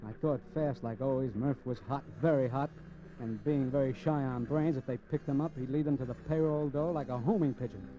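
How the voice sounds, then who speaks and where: An actor playing a cocky, scheming crook in a 1950s radio crime drama, slangy and sardonic. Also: male voice, mid-range voice, brisk, warm tone, slight background noise, close to an everyday voice. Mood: cynical narration. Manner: hard-boiled, slangy, sardonic. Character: cocky, cynical, scheming male crook narrating his crime. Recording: muffled old 1950s radio recording.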